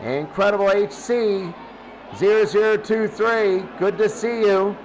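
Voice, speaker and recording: High-pitched man calling football play-by-play. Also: a little slow, rough recording. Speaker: play-by-play commentator for a video game football stream. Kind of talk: sports play-by-play commentary.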